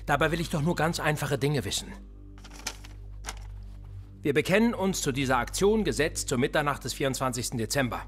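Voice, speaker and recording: An actor playing a cool, sardonic male police interrogator in a radio play, calmly probing and sarcastic. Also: mid-range voice, lightly acted.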